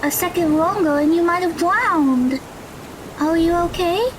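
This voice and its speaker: A woman playing a sweet, excitable teenage girl in an audio drama, eager and polite. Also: extremely high pitch, measured pace, noisy background. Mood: concerned.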